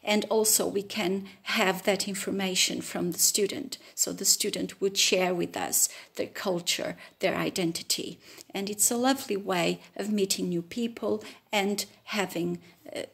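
A woman in her fifties from Portugal speaks English with a Portuguese accent, introducing herself to the camera indoors. Her delivery is slow and earnest, with many pauses and fillers. Her voice carries a gravelly edge. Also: mid-range voice, steady tone, a bit bright. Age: 50s. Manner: earnest, hesitant with frequent fillers. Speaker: language teacher. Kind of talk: self-introduction video. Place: room with wooden ceiling, indoors.

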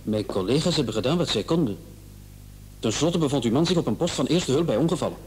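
A man's voice: mid-range voice, lively intonation, fast talker, a little mellow, close to an everyday voice. A man in a radio drama playing a hospital doctor who is placating and condescending. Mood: defensive. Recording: old radio play, faint noise and hum.

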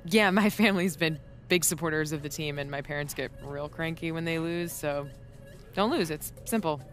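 Friendly, confident young American student, lowish female voice, natural game dialogue, soft music behind. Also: moderate pace. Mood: playfully firm. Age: young adult. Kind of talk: casual conversational game cutscene acting.

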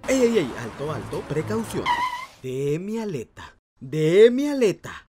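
An anxious clownfish father from a cartoon , voiced by a man, fussy and overprotective. His delivery is nervous and swings widely in pitch, with music clearly behind him. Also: big pitch swings, unhurried. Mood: cautious. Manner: fussy, nervous, stammering when flustered. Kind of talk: amateur animated film dub.

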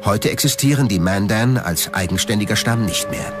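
A man reads scripted documentary narration in a low voice. His intonation moves expressively up and down. Music plays clearly behind him. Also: wildly swooping pitch, moderate pace.

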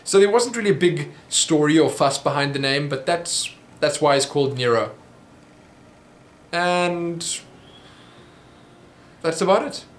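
A young South African man talks into a desk webcam, and his voice sits a little high for a man. He rambles through viewer questions in a loose, chatty way, with plenty of ums. The small room gives off a noticeable echo. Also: lively intonation, a little slow, long pauses, slight background noise. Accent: South African English. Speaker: vlogger answering viewer questions. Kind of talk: casual Q&A monologue. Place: small home office room.

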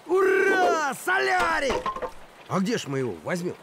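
A man voicing a good-natured, simple, excitable dog in a children's cartoon. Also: very high voice, unhurried, creaky, very noisy, exaggerated voice. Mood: excited. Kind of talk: animated children's cartoon dialogue.

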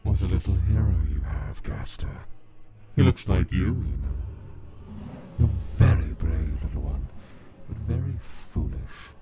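A super deep ancient monster, slow and mocking, cryptic villain talk, cartoon dub with music. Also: male voice, expressive, heavily acted. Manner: slow, deliberate, cryptic, condescending. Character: ancient deathless monster, coolly menacing and mocking. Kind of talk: dramatic comic dub voice acting.

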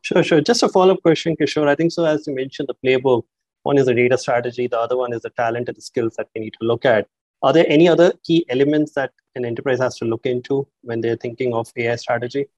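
A man's voice: medium pitch, quick pace, a little mellow, dry recording. A man moderating a panel discussion on a video call, asking polite follow-up questions and handing over to speakers. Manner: polite, hedging follow-ups. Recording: dry, dull video-call audio.

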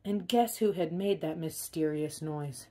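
Unhurried woman reading a picture book. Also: low-pitched. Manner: expressive, emphatic on key words. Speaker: storybook reader. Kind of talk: expressive children's picture-book read-aloud.

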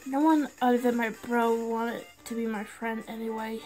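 A woman's voice: on the high side, level tone, moderate pace, darkish tone, pitched below the performer's own voice, natural voice, rough recording. A woman voicing a timid, eager-to-please cartoon cup boy in an amateur comic dub, hesitant and apologetic. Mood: timid, hurt. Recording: background music under the voice.

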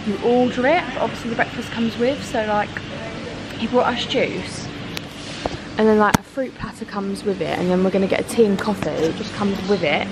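Woman, twenties, British accent, casual travel vlog, handheld camera, clearly noisy background. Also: medium pitch, brisk. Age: 20s. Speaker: travel vlogger. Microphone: camera at arm's length.

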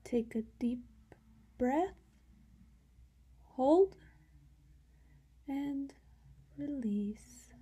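A woman leading a guided meditation in a soft, breathy voice that often sinks toward a whisper, giving calm instructions with long pauses. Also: high voice.

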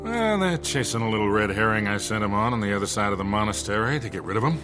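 A man playing an older, gruff and folksy rogue treasure hunter with an American accent in a cinematic video game, talking with a colourful storyteller's swagger. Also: on the low side, lively intonation, fast, natural voice. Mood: relieved, amused. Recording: music behind the dialogue.

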